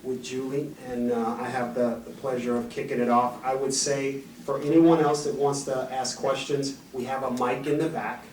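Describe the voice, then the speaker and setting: A man moderating a conference Q&A, enthusiastic but halting, with frequent restarts. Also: medium pitch, flat delivery, a little mellow. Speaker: event moderator. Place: large conference hall. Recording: roomy hall sound, distant mic, faint noise.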